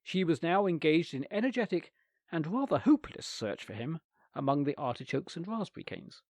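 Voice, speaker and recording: Expressive male storyteller, dry sound. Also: on the high side, brisk, dry recording. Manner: expressive, theatrical. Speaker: reader. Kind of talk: scripted story narration.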